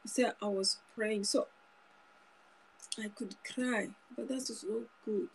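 A woman's voice: medium pitch, fairly even intonation, takes it slowly, a little hiss or hum, poor audio. A woman in her thirties giving an informal, earnest sermon to her phone camera in a small room, often repeating and restarting phrases. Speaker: preacher. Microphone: phone camera close up.

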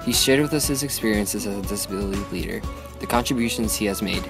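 A man's voice: on the low side, fast talker, vocal fry. A young man with an American accent reads a scripted documentary narration as a student narrator. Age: young adult. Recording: voice-over with music bed. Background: music.

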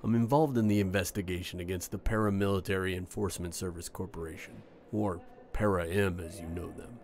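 Man acting deep-voiced, irritable FBI agent. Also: steady tone, a little fast, darkish tone. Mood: businesslike. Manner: curt, exasperated, official. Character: weary, irritable male FBI special agent, blunt. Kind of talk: audio drama acting.

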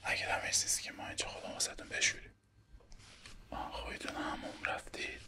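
A young man whispering softly and politely as a barber in a close-up roleplay, with a Persian accent. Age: young adult. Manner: soft polite whisper, addressing the listener as a client. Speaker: ASMR creator playing a barber. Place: dim small room indoors.

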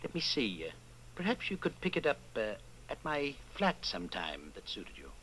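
Urbane official with animated pitch. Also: male voice, slight background noise, low-quality recording. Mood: smooth, guarded. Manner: formal, measured, hesitant asides. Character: urbane, guarded male senior party official. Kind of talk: old-time radio drama acting.

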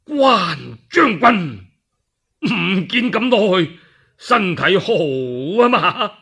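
A man performs a cornered warlord chancellor from a radio storybook tale, with a Cantonese accent. The voice is high and husky, swooping widely as he boasts or wheedles in long theatrical phrases. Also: sing-song, fairly bright tone, heavily acted. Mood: ingratiating. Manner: theatrical, drawn-out pleading and boasting.

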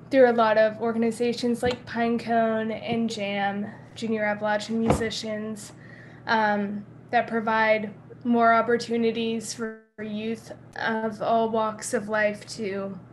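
A woman in her twenties on a video call from a bedroom, speaking earnestly and measured as a panelist. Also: fairly high pitch, a little hiss or hum. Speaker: panelist, young musician. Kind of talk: casual panel talk. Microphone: webcam on a video call.

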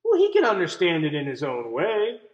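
A doting mouse parent from a storybook , played by a man, high and swooping. The words come with exaggerated emphasis, in a slightly echoey room. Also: wildly swooping pitch, moderate pace, muffled recording. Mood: coaxing. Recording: roomy, echoey room sound.

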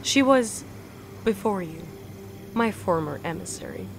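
Soothing grim reaper lady in a radio drama, solemn n measured, noisy bg. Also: mid-range voice, expressive. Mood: grave, regretful. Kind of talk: radio play acting.

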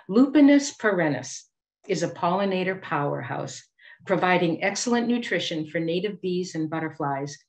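Female presenter, middle-aged, Boston accent, lowish voice, measured scripted delivery for an educational slide talk on video call. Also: low-quality recording. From Boston area, United States. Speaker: master gardener giving a presentation.

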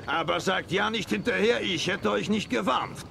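An actor playing a cautious army general in a Western radio play, commanding but hesitant and grumbling. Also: male voice, high voice, moderate pace, vocal fry. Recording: heavy background noise.

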